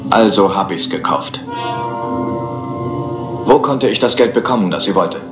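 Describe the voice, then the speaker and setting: An actor playing a cold, suave, scheming murderer with a sardonic manner in a crime drama. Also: male voice, on the low side, animated, a little slow. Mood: confessing, bitter. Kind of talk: German-dubbed crime film acting. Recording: roomy echo, music underneath.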